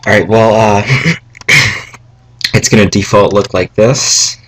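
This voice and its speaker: A young man a little raspy from salty food, giving a rambling, halting coding tutorial from home at his computer, with fillers and asides. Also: on the low side, fairly even intonation, fairly bright tone, rough recording. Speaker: tutorial maker. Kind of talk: casual screen-recorded coding tutorial. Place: home room, family noise around. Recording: faint background noise, keyboard and mouse clicks.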